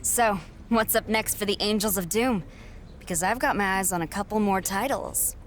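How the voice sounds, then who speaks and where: Woman playing a cocky young wrestler girl, mid-pitched, blunt n sarcastic game cutscene voice, faint rumble behind. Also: normal speed, lightly acted. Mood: wary, indignant. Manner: blunt, sardonic. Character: defiant, sarcastic young female champion wrestler. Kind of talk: video game cutscene acting.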